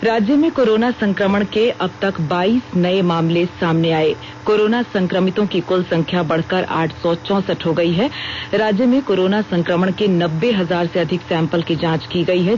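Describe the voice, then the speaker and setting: A woman's voice: on the low side, a little mellow. A woman with an Indian accent reading a formal scripted news bulletin as a radio news reader. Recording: dull lo-fi radio broadcast with noise.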